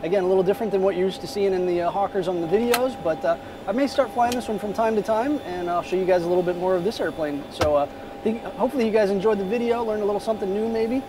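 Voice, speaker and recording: A man's voice: high voice, quick pace. A man in his thirties, a pilot giving a casual video tour of a small jet cockpit, talking in an easygoing way with many fillers, recorded on a camera held at arm's length. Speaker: corporate pilot vlogger. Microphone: phone or camera held at arm's length. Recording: noisy airport background.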